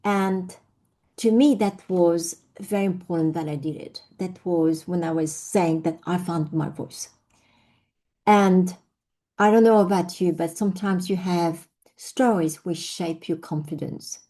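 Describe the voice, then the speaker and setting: A woman's voice: lowish voice, unhurried, mellow. A middle-aged woman with a French accent, telling her personal story in a reflective way with many fillers and restarts. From France. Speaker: invited speaker. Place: online session.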